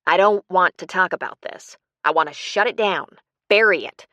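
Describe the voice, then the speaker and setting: A woman's voice: on the low side, moderate pace, bright, forceful tone, no echo. A woman voicing an embarrassed, bitter older woman who confides defensively in an audiobook story.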